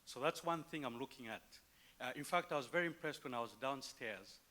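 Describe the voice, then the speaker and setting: A man's voice: mid-range voice, fairly quick, pushed and bright. A man from Zambia with a Zambian accent, speaking from a conference audience in a polite, upbeat and eager way. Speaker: audience member. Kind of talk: audience comment.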